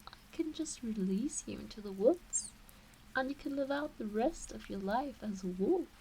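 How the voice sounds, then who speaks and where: A woman voicing a teasing, doting kitsune witch in an intimate ASMR roleplay, with a soft breathy voice that often slips into whispers and coaxing baby-talk. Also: a little high, lively intonation, moderate pace, a little hiss or hum, rough recording. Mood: coolly coaxing. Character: teasing, doting kitsune fox witch fussing over her pet. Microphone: close ASMR microphone.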